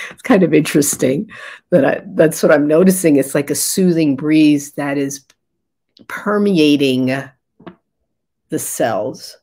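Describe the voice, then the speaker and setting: An older woman with a raspy voice, a spiritual guide leading a soft, meditative session with chanting, heard over a video call from a room at home. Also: low-pitched. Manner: slow, soft and meditative, with pauses.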